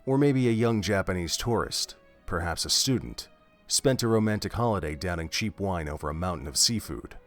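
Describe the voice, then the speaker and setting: A man narrating a fan audio drama in a measured, expressive storytelling manner. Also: sits low, soft background music. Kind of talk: scripted audiobook-style narration.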